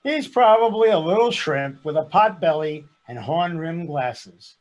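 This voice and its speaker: An actor playing a reluctant, argumentative husband in a comic stage sketch, sarcastic and exasperated. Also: male voice, fairly high pitch, animated, unhurried, muffled recording. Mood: contrary. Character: reluctant, grumpy, argumentative husband at couples counseling. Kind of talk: comic readers theater sketch.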